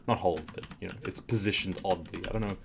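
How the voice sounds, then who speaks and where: Low, slightly raspy male game commentator. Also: fast talker, background noise. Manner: relaxed, rambling, with frequent fillers and restarts. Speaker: game tutorial host. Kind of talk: casual gameplay commentary. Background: keyboard clicks.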